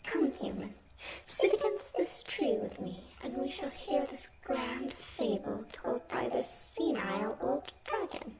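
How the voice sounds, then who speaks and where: Girl voice actor doing a sassy airy forest nymph, high n swoopy, kinda far from the mic w/ loud bugs, fantasy rp. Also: big pitch swings, a little slow, creaky, very noisy, heavily acted. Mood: haughty, teasing. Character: female tree nymph, haughty, playful, teasing. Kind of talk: fantasy roleplay audio drama, ASMR. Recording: distant, echoey, loud night insect ambience.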